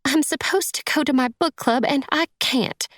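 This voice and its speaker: A woman's voice: mid-range voice, moderate pace, vocal fry, no echo, studio quality, voice pushed up. A woman voicing a tearful, vain young trophy wife in an audiobook, with a whiny, sniffly delivery. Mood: tearful, distraught.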